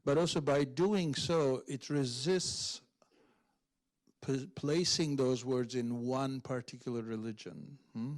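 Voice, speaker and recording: Slow-talking, scholarly man. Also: medium pitch, fairly even intonation. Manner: halting, scholarly, checks in with "right?". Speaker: literary translator on a panel. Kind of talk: unscripted explanatory literary talk. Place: public library event before an audience.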